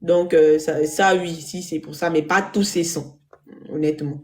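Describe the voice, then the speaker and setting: A man speaking as a panel guest, giving his opinion in a casual, measured way. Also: a little high, steady tone, a little mellow.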